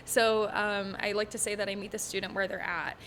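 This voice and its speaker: A woman's voice: medium pitch, flat delivery, brisk, fairly bright tone, a little hiss or hum. A woman in her twenties from Texas, a music teacher being interviewed, talking casually with frequent ums and light laughs. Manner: chatty, laughs often, many ums. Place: bright living room set. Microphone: stand mic in front.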